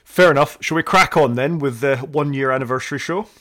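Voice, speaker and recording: A man's voice: medium pitch, fairly quick, a bit bright. A man co-hosting a podcast, chatting in an upbeat, self-deprecating way. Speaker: podcast co-host. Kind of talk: casual chat.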